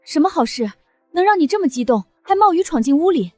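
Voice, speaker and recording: An actress playing an outspoken, practical young sister-in-law from the city, with an expressive, persuasive manner. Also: female voice, extremely high pitch, a little fast, faint music behind. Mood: surprised. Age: young adult. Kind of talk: scripted drama acting.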